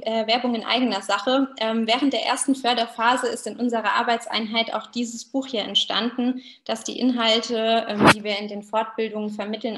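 A woman presents an academic online lecture in a fairly high voice. She explains step by step and speaks directly to her listeners. The recording is clearly roomy and echoing, as from a computer microphone in a small room. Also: fairly bright tone. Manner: addresses listeners directly, illustrates with examples. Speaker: university researcher presenting a talk. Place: small indoor room, video call. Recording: roomy, echoing webcam sound.

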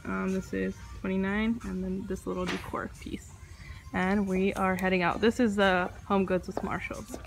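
A woman's voice: medium pitch, little pitch movement, moderate pace, gravelly, poor audio. A young woman vlogging casually while shopping, gushing over items and reading prices aloud into her handheld phone. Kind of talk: casual shop-with-me commentary. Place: home decor stores, indoors. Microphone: handheld phone camera.

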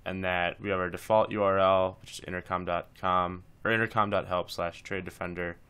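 A man's voice: sits low, level tone, fairly quick, sharp tone, clear, resonant tone. A man presenting a casual screencast tutorial, talking informally through each step as he instructs.

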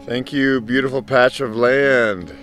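A man's voice: medium pitch, steady tone, a little slow, a bit bright, soft background music. Upbeat man vlogging outdoors on a bike. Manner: chatty and upbeat, talks to animals, playful sing-song bits. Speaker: bikepacking vlogger. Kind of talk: casual vlog narration to camera. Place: outdoors on a mountain dirt road. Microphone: action camera on the bike and held at arm's length.

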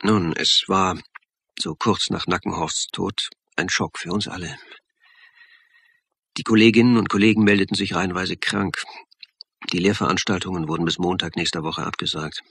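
A wry storyteller in a radio crime play, low and gravelly with a forceful edge. He narrates soberly and measuredly in a very dry, close studio sound. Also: steady tone, normal speed, dry recording, exaggerated voice. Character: wry male narrator, a sober police academy lecturer. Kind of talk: scripted first-person radio drama narration.

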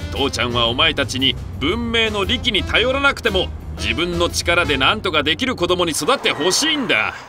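A man playing an excitable family father in a comedy cartoon, blustering out his retorts. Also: fairly high pitch, normal speed, few pauses, over music. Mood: earnest, cheerful. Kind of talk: animated comedy acting.

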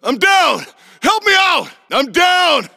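An actor playing a tough, alert squad soldier in a military shooter game, giving clipped, urgent callouts. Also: male voice, extremely high pitch, very animated, takes it slowly, vocal fry, pushed and bright, shouting, heavily acted. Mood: urgent, alarmed.

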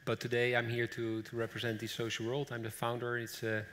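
A man's voice: medium pitch, completely flat delivery, a little fast, fairly bright tone. A middle-aged man speaking as a founder on a conference panel, on stage in a large hall. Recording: long reverb of a large hall.

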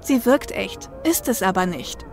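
Woman acting a sober young female mercenary, mid pitch. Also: expressive, unhurried, darkish tone, faint music behind. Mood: chiding. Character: caring, sceptical young female shadowrunner, down-to-earth. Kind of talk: German audio drama acting.

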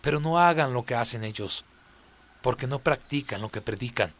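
A man's voice: medium pitch, lively intonation, moderate pace, a bit bright. A man voicing a firm, authoritative prophet, preaching solemnly and emphatically in a radio drama. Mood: solemn teaching. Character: Jesus, firm authoritative male prophet and teacher.

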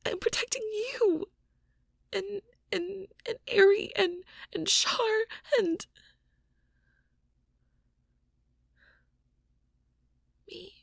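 A woman playing a shy, anxious young mage girlfriend in an intimate audio roleplay, hesitant and stammering in a breathy whisper. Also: very high voice, slow, no room sound, clean studio sound, full character voice. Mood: pleading, anxious. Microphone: close microphone, dry.

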